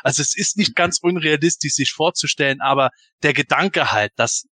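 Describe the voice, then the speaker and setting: A man talks casually and enthusiastically as a podcast panelist, rambling into anecdotes. His voice is bright and slightly high, recorded dry and close. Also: fairly quick, dry recording. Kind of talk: casual chat, fan commentary.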